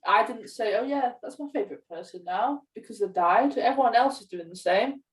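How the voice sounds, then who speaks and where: A woman's voice: fairly high pitch, lively intonation, a little fast. A young woman co-hosting a podcast in casual chat. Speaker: podcast co-host. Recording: distant from the microphone.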